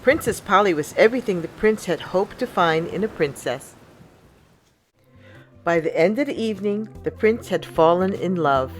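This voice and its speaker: A woman reading a children's picture book aloud as a storyteller, in an expressive, deliberate way. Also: fairly low pitch. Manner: deliberate, expressive storybook delivery with acted sound words.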